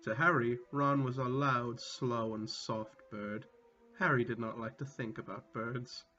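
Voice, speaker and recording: A man's voice: medium pitch, steady tone, a little slow, bright, forceful tone. A man giving a comedic, mock-dramatic reading with casual sweary asides, amused and prone to breaking into laughter. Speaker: reader. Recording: dull lo-fi sound, music behind. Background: music.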